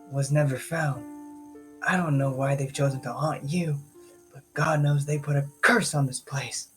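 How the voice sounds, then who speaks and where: A man playing a gaunt, sorrowful old butler in a gothic audio drama, speaking in a hushed, formal way. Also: medium pitch, natural voice, poor audio.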